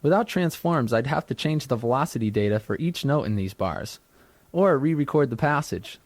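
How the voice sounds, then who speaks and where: A man with a mid-pitched voice narrates a scripted software tutorial. He explains each step in a measured, orderly way. The sound is very dry, like a studio read for an instructional tape. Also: expressive, dry recording.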